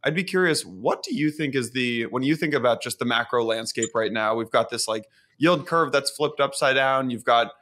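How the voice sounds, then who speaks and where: A man's voice: medium pitch, normal speed, fairly bright tone. A young man hosting a podcast interview in an upbeat, chatty way, recorded indoors on a studio microphone. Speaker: podcast host. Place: home office, indoors. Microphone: studio mic on boom arm.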